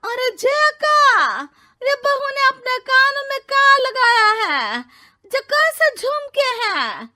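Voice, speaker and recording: A rustic older mother-in-law from a cartoon moral tale. Her voice is extremely high and piercingly bright, and she speaks unhurriedly. She is suspicious and grumbling, full of exclamations and sarcasm. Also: big pitch swings, slow pace, full character voice. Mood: suspicious, refusing. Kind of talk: animated moral-story cartoon voice acting.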